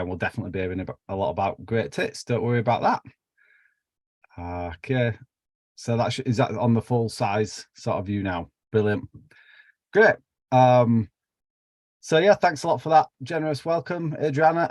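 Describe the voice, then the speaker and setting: A man's voice: fairly low pitch, a little mellow, no echo, clean studio sound. A man with a broad Yorkshire accent giving an academic seminar talk over a video call, chatty and self-deprecating with many fillers. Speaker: seminar speaker.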